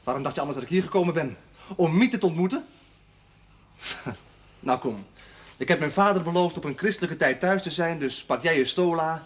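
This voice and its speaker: A man's voice: fairly high pitch, brisk, long pauses, a little hiss or hum, close to an everyday voice. A man playing an insolent young penitent fresh out of prison in a television drama, mocking and provocative.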